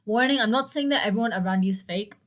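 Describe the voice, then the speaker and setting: A young woman with a hoarse, dry voice hosting a solo educational podcast, chatty and prone to self-deprecating asides. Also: mid-range voice, quick pace, a bit bright. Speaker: podcast host.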